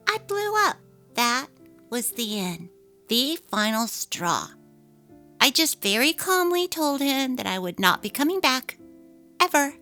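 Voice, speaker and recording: An earnest young mother, acted by a woman, speaks in a high, crisp-edged bright voice. She is resolute and emphatic, heartfelt in her dramatic story reading, with music playing softly behind. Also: big pitch swings, unhurried, exaggerated voice. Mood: indignant.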